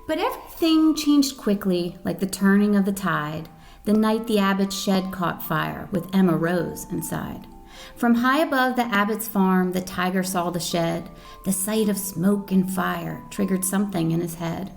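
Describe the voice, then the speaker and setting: A woman reading a picture book aloud to children as a storyteller, with an expressive, dramatic manner. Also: medium pitch, measured pace, creaky, soft background music. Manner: expressive, dramatic reading with questions to young listeners. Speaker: volunteer storyteller.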